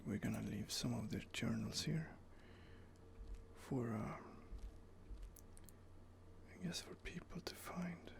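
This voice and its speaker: A man's voice: medium pitch, lively intonation, takes it slowly, creaky, long pauses. Whispering man, hesitant ASMR commentary. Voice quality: soft whisper. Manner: hesitant, many fillers and restarts. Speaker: gameplay host.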